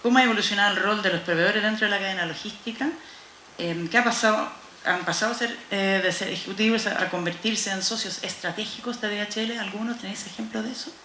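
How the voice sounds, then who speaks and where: A woman's voice: mid-range voice, fast talker, pushed and bright. A woman moderating a conference panel, speaking with frequent hesitations. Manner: hesitant, with fillers. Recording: echoey room with faint noise.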